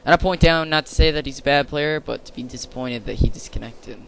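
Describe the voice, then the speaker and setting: A young man, slightly hoarse, giving rambling, casual commentary over his own gameplay as a channel host. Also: a little high, fast, fairly bright tone, slight background noise. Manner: chatty, rambling, with fillers and restarts.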